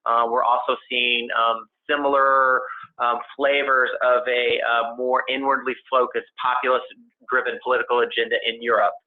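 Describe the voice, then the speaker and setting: A man giving a technical investment presentation on a conference call, speaking in a halting, hedging way as an analyst. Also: medium pitch, little pitch movement. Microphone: conference call line. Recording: tinny phone-line conference call audio.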